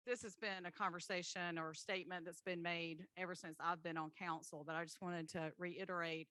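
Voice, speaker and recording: A woman leads a city hall committee meeting, her voice bright and forceful, slightly lower than most. She works through motions and votes in a procedural, businesslike way. The sound is close and dry. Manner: businesslike, procedural, with frequent um fillers. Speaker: committee chair.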